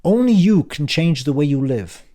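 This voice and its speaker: A brash, sardonic older uncle barks his lines in a cutting, impatient manner, put on by a man reading an audiobook. The voice sits higher and louder than the reader's own, gruff and bossy throughout. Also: lively intonation, normal speed, lightly acted. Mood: brusque. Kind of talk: audiobook character voice.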